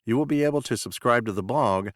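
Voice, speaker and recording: A man's voice: mid-range voice, fast, no room sound, clean studio sound. A mature man with an American accent, reading a podcast host's scripted sign-off. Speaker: podcast host. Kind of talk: scripted podcast outro narration.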